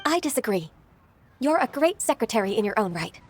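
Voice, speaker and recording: Gentle ageless anime game heroine, lightly high pitch, polite, dutiful, soft-spoken, faintly noisy capture. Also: female voice, sing-song, fairly quick. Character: gentle, dutiful, ageless half-adeptus woman secretary, modest and sincere. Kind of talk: anime video game voice acting.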